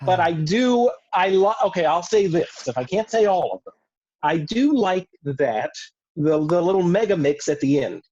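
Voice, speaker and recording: A man's voice: high voice, lively intonation, moderate pace, darkish tone, slight background noise. A man talking casually in a group chat over muffled online call audio. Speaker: cast member of a puppet show. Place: video call.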